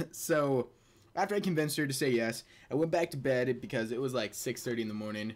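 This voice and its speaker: A young adult man hosts a casual storytelling video with a mid-pitched voice. He talks informally and crudely, joking as he goes and amused at his own material. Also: brisk. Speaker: video host telling personal stories. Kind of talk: casual comedic personal storytelling.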